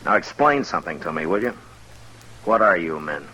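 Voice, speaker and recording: An American actor playing a weary, firm frontier marshal in an old radio western, terse, measured and authoritative. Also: male voice, deep voice, lively intonation, bright, forceful tone. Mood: dry, firm. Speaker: lead actor and narrator. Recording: noisy vintage radio recording.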